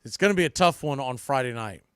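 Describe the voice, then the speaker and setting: A man's voice: mid-range voice, animated, fast talker, fairly bright tone, smooth, no room sound, clean studio sound. A man hosting a baseball podcast, giving off-the-cuff preview commentary and thinking aloud as he corrects himself.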